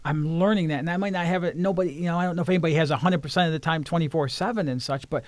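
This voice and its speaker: An earnest man talking as a life coach in an interview, his voice sitting a little higher than most men's. He often restarts his sentences. The sound is close and dry, with no room echo. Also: very fast. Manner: earnest, repeats words when starting sentences. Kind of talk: casual interview conversation.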